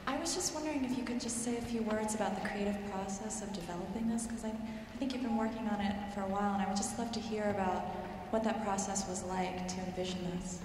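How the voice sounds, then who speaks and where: A woman in the audience asking a question, enthusiastic and quick. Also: mid-range voice, fairly even intonation. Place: large church. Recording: echoey church with noise.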